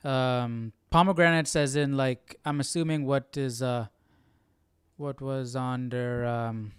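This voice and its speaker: A man's voice: mid-range voice, steady tone, slow, smooth, no echo. A man hosting a Bible study with casual live commentary, musing and questioning aloud.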